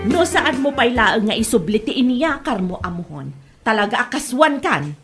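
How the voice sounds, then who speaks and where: A woman's voice: a little high, sing-song, normal speed, loud music behind the voice. A woman playing an indignant neighbor in a comedy drama, confrontational and accusing. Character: indignant neighbor woman threatening over a land boundary. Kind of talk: Ilocano comedy drama acting.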